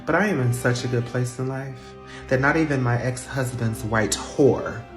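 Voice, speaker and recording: A performer voicing a sassy, confident woman store manager who speaks in a slow, cool, cutting way. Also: medium pitch, lightly acted. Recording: roomy echo with faint music.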